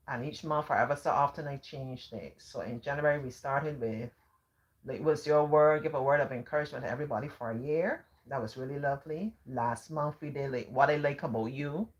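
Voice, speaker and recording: A calm Barbadian woman hosting a live stream, talking casually in a gentle, sing-song way. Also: a bass voice, normal speed, highs cut off.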